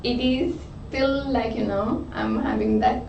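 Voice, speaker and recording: A woman's voice: a little high, wildly swooping pitch, measured pace, a little mellow, faint music behind. A woman from Mauritius with a Mauritian accent, a student asking her tutor hesitant questions. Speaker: test-prep student. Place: studio room. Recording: roomy, distant, dull studio sound.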